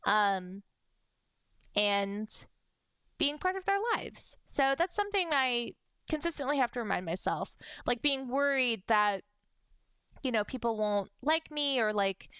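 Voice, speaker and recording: Giggly young woman streamer, really high bright voice, bouncy, dry close mic. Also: smooth, dry recording. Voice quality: high-pitched voice. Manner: bubbly, giggly, many "like"s. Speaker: VTuber streamer. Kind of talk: casual livestream chat, reading fan messages.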